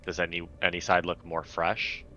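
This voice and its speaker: A man playing a tabletop roleplaying game, casually stating his moves, on a clearly noisy recording. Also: low voice, level tone, moderate pace, pushed and bright, highs cut off.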